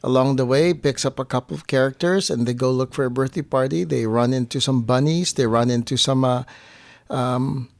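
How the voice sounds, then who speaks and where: A man tells stories in a casual, conversational way. His voice is average in pitch and he slips into acted-out quotes as he goes. The sound is dry, with little room echo. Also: moderate pace, gravelly. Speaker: guest on partner's family show. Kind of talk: casual chat, anecdotal storytelling.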